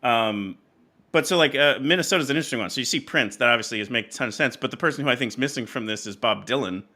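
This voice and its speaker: A man with a bright, forward voice hosts a casual podcast. His talk rambles and hesitates, doubling back on itself as he goes. The pitch sits in an average male range. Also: fast talker. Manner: rambling, hesitant, self-interrupting delivery. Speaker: podcast host. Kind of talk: casual chat.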